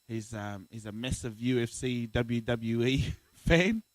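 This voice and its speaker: A man's voice: medium pitch, a little slow, no room sound. A young man, a guest in a casual podcast interview, bantering with slang and laughing often.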